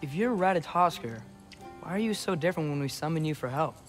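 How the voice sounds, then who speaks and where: An earnest teenage boy adventurer, played by a man speaks in a fairly high male voice, informal and inquisitive. It is naturalistic video game acting, with music clearly audible behind him. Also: lively intonation, a little fast, lightly acted. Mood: curious.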